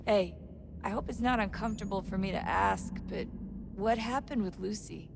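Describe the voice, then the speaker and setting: An actress playing a considerate, curious young teammate in a video game, speaking in a conversational, tactful way. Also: female voice, medium pitch, normal speed, creaky, close to an everyday voice. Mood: sympathetic, curious. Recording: background ambient noise.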